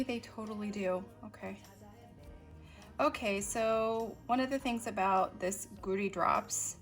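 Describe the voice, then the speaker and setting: A woman chatting casually as she shows her desk items, unhurried and full of ums and little asides while she works. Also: mid-range voice, a bit bright. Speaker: stationery vlogger. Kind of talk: casual show-and-tell narration.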